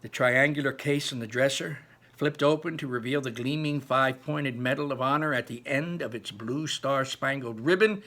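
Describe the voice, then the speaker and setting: A man reading a novel aloud as animated storytelling, emphatic and dramatic, with asides to his listeners. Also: mid-range voice, moderate pace. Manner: emphatic, dramatic, repeats phrases for effect. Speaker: read-aloud teacher narrating a novel.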